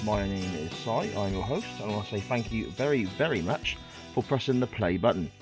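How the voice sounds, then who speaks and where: A man's voice: lowish voice, expressive, brisk, faint music behind. A man hosting a podcast interview in a chatty, polite way with frequent ums, sounding congested from a cold. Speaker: podcast host. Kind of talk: casual interview chat.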